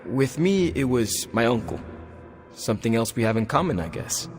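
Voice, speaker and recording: Casual young male superhero, very lively pitch actor. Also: normal speed, over music, lightly acted. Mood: confiding. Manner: casual, conversational. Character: young street-raised superhero, spirit of vengeance, friendly, casual. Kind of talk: video game cutscene acting.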